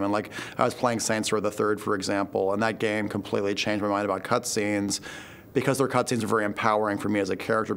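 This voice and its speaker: Fast, even-toned low male voice. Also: smooth. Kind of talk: casual interview talk.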